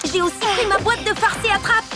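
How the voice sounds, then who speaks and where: Extremely high, excitable cartoon girl. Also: brisk, over music. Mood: excited. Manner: bubbly, emphatic. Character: excitable, playful young girl. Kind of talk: French cartoon dub acting.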